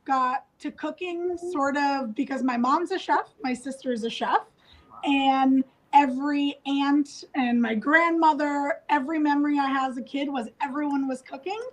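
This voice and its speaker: A woman's voice: sits high, moderate pace, poor audio. A woman from Thailand, a cooking instructor chatting in an easygoing way on a live video call. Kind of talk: casual live video chat.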